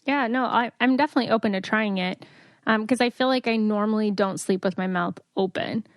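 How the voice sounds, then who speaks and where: A woman's voice: mid-range voice, brisk, no echo. A woman hosting a podcast, chatting casually in an informal, personal and agreeable way. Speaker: podcast host. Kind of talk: casual chat.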